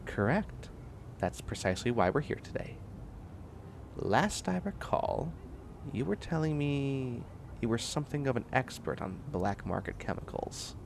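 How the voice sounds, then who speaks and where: A man's voice: medium pitch, lively intonation, measured pace, gravelly, slight background noise. A man playing a tired, prickly, bookish biotech student in a sci-fi comedy audio roleplay, with a wry, fussy, rambling delivery. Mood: indignant, pedantic. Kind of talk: scripted comedic audio roleplay, one side of a conversation.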